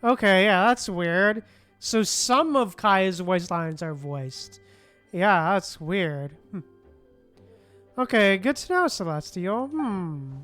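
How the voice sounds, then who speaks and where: A woman's voice: medium pitch, lively intonation, slow pace, fairly bright tone, soft background music. A woman streaming a game, casually commenting and reading dialogue aloud, correcting slips as they come.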